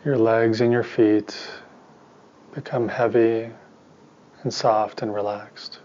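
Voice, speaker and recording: Man leading a guided meditation, even monotone. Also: fairly low pitch, takes it slowly, dull audio. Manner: calm, soothing instruction in short, spaced phrases. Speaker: meditation teacher and therapist.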